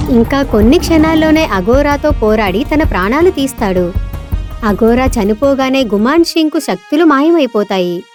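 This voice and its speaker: High-pitched woman narrating a story. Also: darkish tone. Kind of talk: scripted children's story narration.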